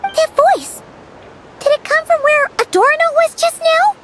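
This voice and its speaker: A squeaky little fairy companion. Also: female voice, expressive, fairly bright tone, heavily acted, rough recording. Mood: eager. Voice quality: very high, childlike and bouncy. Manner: quick, nosy and pushy, interrupts with questions. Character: tiny high-voiced fairy companion, chirpy and blunt. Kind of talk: video game dialogue. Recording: game audio with music underneath.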